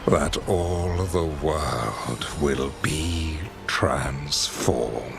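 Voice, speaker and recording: An actor playing a grandiose ancient tyrant god in a fantasy video game, pompous, commanding and regal in measured speech. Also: male voice, very deep voice, creaky, heavily acted. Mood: grandiose menace. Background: music.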